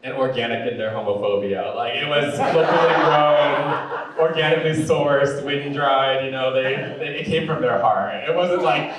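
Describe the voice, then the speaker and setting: A male stand-up comedian with a deep voice and a sweet, fruity cadence, telling jokes in a campy, chatty way full of "like" and "you know". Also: normal speed, far from the mic, a crowd in the background. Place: comedy club stage. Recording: very echoey room, voice off-mic.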